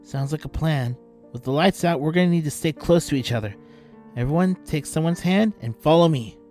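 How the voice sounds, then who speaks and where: A man's voice: fairly high pitch, brisk, a little mellow, faint music behind, natural voice. A man playing an anxious, questioning boy in an audio drama. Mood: uneasy.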